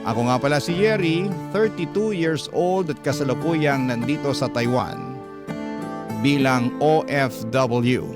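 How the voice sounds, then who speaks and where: A man hosting a radio love-story show, narrating scripted letters in a dramatic storytelling style. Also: mid-range voice. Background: background music.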